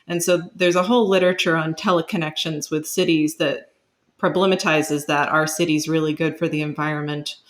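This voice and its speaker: A woman with a slightly low voice speaks as an expert podcast guest. She explains things in a measured, academic manner, carefully qualifying each point. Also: steady tone. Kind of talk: explanatory interview talk.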